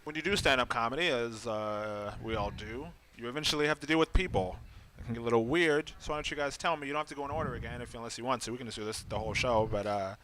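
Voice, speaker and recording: Quick, bright-voiced male host. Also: medium pitch, a little hiss or hum. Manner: quick, joking moderator. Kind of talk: hosting a comedy panel show.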